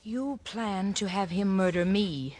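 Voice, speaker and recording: An actress playing a betrayed, coldly accusing wife with pointed, dramatic delivery in a 1940s radio play. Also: female voice, medium pitch, slow, darkish tone.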